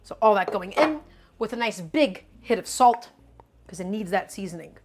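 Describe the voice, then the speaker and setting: A woman's voice: mid-range voice, expressive, normal speed, background noise. A young woman hosting a live cooking stream. She talks to her viewers in a chatty, upbeat way, often calling them "guys" and hyping them up. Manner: upbeat, hypes up the audience.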